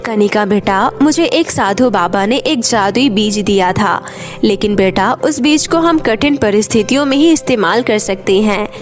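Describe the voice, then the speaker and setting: A woman voicing a gentle, reassuring spirit of a dead mother in a cartoon moral story. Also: medium pitch, moderate pace, faint music behind, voice pushed down, close to an everyday voice. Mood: soothing, solemn. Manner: calm, reassuring.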